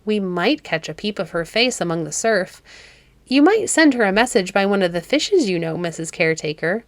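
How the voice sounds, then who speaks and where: A woman's voice: medium pitch, expressive, moderate pace, no echo, lightly acted. A woman voicing eager, curious children in a storybook, polite and full of wonder. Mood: wondering, hopeful.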